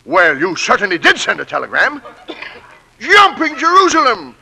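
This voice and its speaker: An actor playing an irascible, pompous company boss who sputters and blusters in 1940s radio comedy style. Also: male voice, very high voice, wildly swooping pitch, moderate pace, vocal fry, pushed and bright, heavily acted, rough recording. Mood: flustered, outraged. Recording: vintage 1940s radio broadcast.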